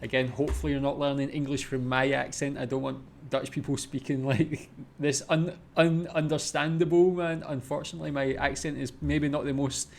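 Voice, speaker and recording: A man's voice: mid-range voice, little pitch movement, a little fast, gravelly, few pauses, a little hiss or hum. Scottish man chatting to camera. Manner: chatty, thinking aloud with fillers. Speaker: reaction video host. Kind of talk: casual commentary to camera.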